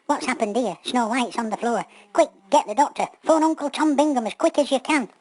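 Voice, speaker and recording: A woman voicing excitable little male bulldogs in a children's pantomime, urgent and exclamatory. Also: high voice, brisk, no room sound, pitched above the performer's own voice. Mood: alarmed.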